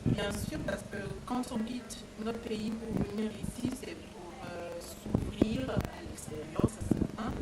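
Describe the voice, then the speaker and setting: A woman's voice: mid-range voice, a little slow, few pauses. A young woman from Côte d'Ivoire, a student answering informally in an interview. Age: young adult. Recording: roomy, distant mic, loud background noise.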